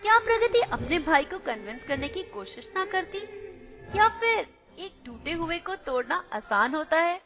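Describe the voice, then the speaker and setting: A woman's voice: very high-pitched, sing-song, normal speed, a bit bright, lo-fi sound. A woman narrating a radio play, asking her listeners reflective questions. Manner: questioning, addresses listeners as friends.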